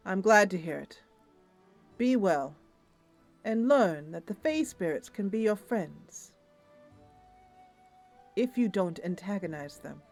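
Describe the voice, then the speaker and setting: A woman voicing a kind, composed, noble female adventurer who speaks in a formal, measured manner. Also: medium pitch, animated, darkish tone, faint music behind. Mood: kindly counsel.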